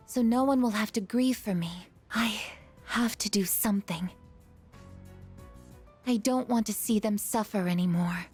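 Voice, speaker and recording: A woman voicing an earnest, gentle female android in a video game, with measured, formal phrasing. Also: medium pitch, husky. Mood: troubled.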